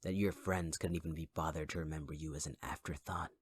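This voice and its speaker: A man voicing a taunting young male fire villain in an audio roleplay, speaking in a husky, mocking, intimate voice with close whispers. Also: rumbling low, steady tone, quick pace. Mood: cruel. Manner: mocking, cruelly patient, intimate. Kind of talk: ASMR audio roleplay acting.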